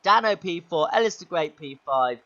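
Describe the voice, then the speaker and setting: A young man commentating his own sim race, his voice going hoarse, excitable and shouting at the big moments. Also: a little high, normal speed, muffled recording. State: losing his voice. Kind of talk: gaming commentary.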